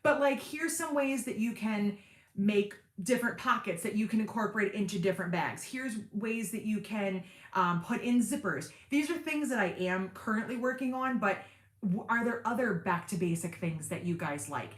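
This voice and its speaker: A woman hosting a casual sewing livestream, reading viewer comments and chatting with lots of fillers and self-deprecating asides, with a fairly deep everyday voice. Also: moderate pace, roomy sound. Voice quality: deep speaking voice in everyday talk. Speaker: sewing channel host on a livestream.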